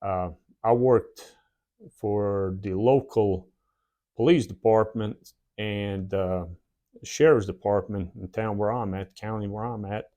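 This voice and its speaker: Man, Slavic accent with a Southern drawl, fairly low voice, unhurried storytelling, lots of uh pauses. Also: steady tone, warm tone. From former Yugoslavia. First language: a South Slavic language. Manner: plain, unhurried, frequent "uh" pauses. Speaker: channel host. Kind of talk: casual personal storytelling. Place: home workshop shed.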